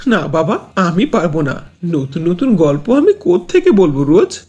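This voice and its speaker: A woman acts out an everyday cartoon village man with a husky voice. He talks in an offhand, dismissive way, with very lively swings in pitch. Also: moderate pace. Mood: teasing. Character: ordinary village men: teasing husband, brusque neighbours. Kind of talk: Bengali cartoon dubbing.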